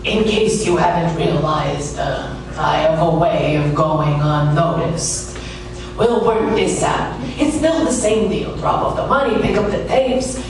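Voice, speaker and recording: An actor playing a sardonic, world-weary private detective in a hardboiled radio drama. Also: male voice, on the high side, measured pace, creaky. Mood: dry, defiant. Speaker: actor and narrator. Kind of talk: noir radio drama narration. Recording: echoey room, distant mic, music, amateur sound.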